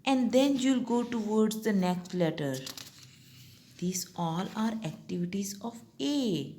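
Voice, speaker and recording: A young woman teacher with a Pakistani English accent gives young pupils their summer tasks one step at a time. She speaks slowly and patiently. Her pitch swings up and down in a lively, sing-song way. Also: slight background noise. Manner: slow, patient, step-by-step instruction.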